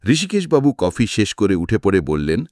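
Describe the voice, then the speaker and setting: A man's voice: lowish voice, big pitch swings, moderate pace, a little mellow, dry recording, clean studio sound. A man narrating a detective audiobook expressively, acting out the dialogue as storyteller.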